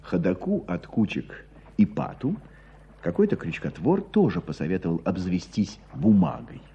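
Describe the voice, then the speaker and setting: Husky wry storyteller, radio drama narration, mellow dark tone, dull recording. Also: male voice, low voice, huge pitch swings, moderate pace, exaggerated voice. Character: wry storyteller recounting a provincial dispute.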